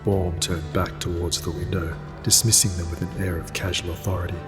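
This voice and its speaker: A man narrates a scripted story in cinematic style. His voice is low and stays very even, trailing long reverb over a music score. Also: fairly quick.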